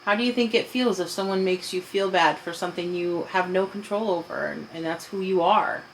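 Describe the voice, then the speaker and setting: A woman's voice: on the low side, a little fast, slight background noise, low-quality recording. An adult woman talking casually with a child, patiently explaining and asking questions. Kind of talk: casual conversational discussion with a child.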